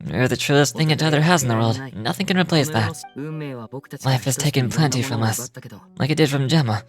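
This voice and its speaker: A woman voicing a suave, courteous young male stage magician in game dialogue, polite, gentle and theatrical. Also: very deep voice, a little fast, over music. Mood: earnest, tender. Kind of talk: game dialogue read aloud in character.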